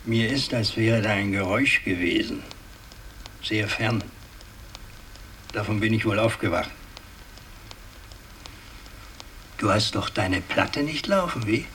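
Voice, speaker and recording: An actor playing an imperious, scheming old aristocratic patriarch in a radio drama, declamatory and halting, moving between command and muttering. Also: male voice, on the low side, animated, fairly bright tone, slight background noise, poor audio. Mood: probing, uneasy. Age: older. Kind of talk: 1960s German radio drama acting.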